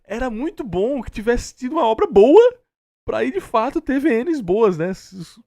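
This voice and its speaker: A man's voice: very high voice, sing-song, normal speed, dark tone, no room sound. A Brazilian man co-hosting a reaction stream, arguing casually in a blunt, mocking, sweary way. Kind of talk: casual argumentative commentary.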